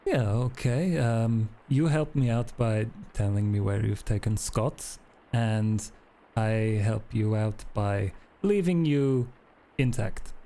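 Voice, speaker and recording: A man voicing a sardonic, smug vampire interrogator in a tabletop game, with courteous, deliberate phrasing. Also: on the low side, mellow. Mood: coolly menacing. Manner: deliberate, polite threats.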